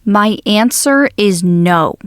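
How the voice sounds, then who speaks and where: A woman plays a stern, unyielding mom in a comic dub. Her mid-pitched voice is firm and slow, in short clipped sentences on a dry recording. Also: lively intonation, no echo. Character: strict, unyielding mother of a teenager. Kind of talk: fandub acting.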